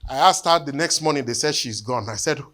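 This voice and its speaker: A man, preaching a sermon and telling a personal testimony in an earnest storytelling way that often sinks to hushed tones. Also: medium pitch, fairly quick, a little hiss or hum. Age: 50s. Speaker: bishop.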